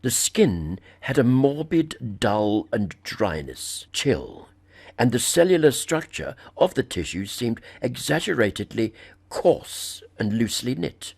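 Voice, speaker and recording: A man narrating a literary horror story in a formal, measured, dramatic reading style. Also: mid-range voice, very animated, creaky, darkish tone, husky. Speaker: audiobook narrator.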